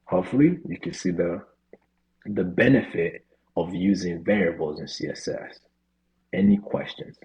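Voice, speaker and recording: A man's voice: low voice, very animated, moderate pace, creaky, darkish tone, low-quality recording. A man teaching a live online coding class over a video call, talking casually with lots of "right?" and "you know". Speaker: coding instructor.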